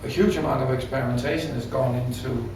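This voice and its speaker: Male interviewee, designer type: gravelly, thoughtful, halting delivery, recorded in an echoey room. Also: medium pitch, steady tone, a little mellow, a little hiss or hum. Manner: hesitant, reflective, often rephrasing mid-sentence. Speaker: audio company designer being interviewed. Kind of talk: unscripted explanation in an interview. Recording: roomy echo with music behind.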